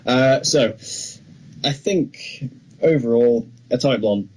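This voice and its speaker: A man's voice: mid-range voice, slow pace, darkish tone. A young British man co-hosting a film podcast, chatting casually as he reviews movies. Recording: clearly noisy background ambience.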